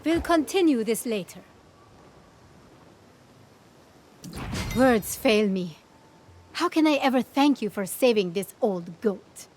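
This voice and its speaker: An actress playing an earnest, caring young scholar in a fantasy game, expressive and articulate. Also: female voice, a little high, measured pace, slight background noise. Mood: worried.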